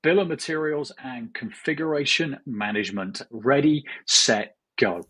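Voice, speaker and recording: A man's voice: mid-range voice, a little slow, background noise. A man co-hosting an interview podcast, asking questions in an upbeat, playful way. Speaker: podcast co-host.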